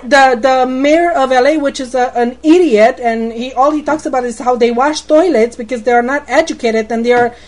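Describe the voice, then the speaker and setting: Indignant high-voiced Romanian woman. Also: normal speed, few pauses, darkish tone. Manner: indignant, rambling, repeats words. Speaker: customer on a phone call. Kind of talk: heated phone complaint.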